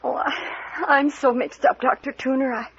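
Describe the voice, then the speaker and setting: A woman's voice: high-pitched, fairly even intonation, moderate pace, a bit bright, slightly rough, full character voice. An actress playing a timid, confused young woman, hesitant and polite, in an old radio drama. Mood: anxious. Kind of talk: 1940s American radio acting. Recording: muffled, noisy vintage radio recording.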